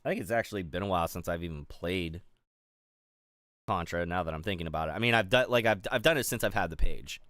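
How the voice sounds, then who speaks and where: A middle-aged man from the Pittsburgh area chats loosely on a stream. His low voice is smooth and resonant, and the sound is close and dry. He rambles, restarts and fills pauses as he goes. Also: fairly bright tone, dry recording. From Pittsburgh area, USA. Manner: rambling, chatty, many fillers and restarts. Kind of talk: casual chat while building a toy set.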